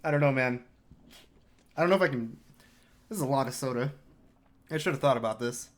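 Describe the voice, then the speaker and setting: A man's voice: mid-range voice, a little fast. A young man casually commentating his speedrun of a game, explaining tricks with wry, self-mocking asides. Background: game music.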